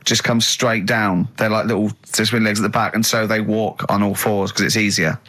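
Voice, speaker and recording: A man's voice: fairly low pitch, steady tone, normal speed, fairly bright tone. An English man co-hosting a radio show in casual comic banter, with a teasing, mock-disapproving way of questioning.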